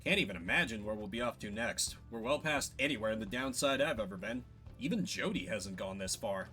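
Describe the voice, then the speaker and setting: A man plays a young exile companion from a fantasy game, kindly and upbeat. He talks casually and easily, with animated intonation. Faint music plays underneath. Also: on the low side, brisk, voice pushed down. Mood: easygoing, grateful. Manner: casual, friendly phrasing.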